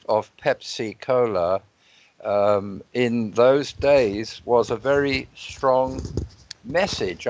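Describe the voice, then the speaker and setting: A man moderating an online panel discussion in a measured, thoughtful way, telling stories over a video call. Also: mid-range voice, no echo, muffled recording.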